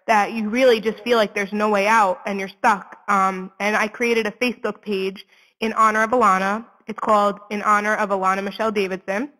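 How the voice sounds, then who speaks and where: A woman's voice: mid-range voice, a bit bright, long reverb tail, low-quality recording. A woman in her twenties speaking earnestly and conversationally as an interview guest, in candid, informal talk. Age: 20s. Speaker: interview guest, suicide prevention advocate.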